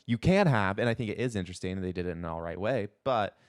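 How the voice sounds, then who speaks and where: A man with a fairly low voice chats casually as a podcast co-host. His phrasing is loose and informal, full of "like", and the sound is very dry with no room echo. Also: animated, fast talker. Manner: informal, peppers speech with "like". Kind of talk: casual chat.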